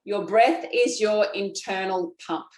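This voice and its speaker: A chatty, folksy woman leads viewers through a self-massage routine, speaking at an unhurried pace. Her voice bounces around an echoey room. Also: medium pitch, a little mellow. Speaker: lymphatic drainage instructor. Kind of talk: guided self-massage class.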